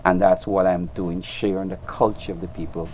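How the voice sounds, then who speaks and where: A man's voice: low-pitched, little pitch movement, moderate pace, darkish tone, soft background music, poor audio. An older man with a Guyanese accent, a storyteller and clown giving earnest interview answers, often repeating words as he searches for them.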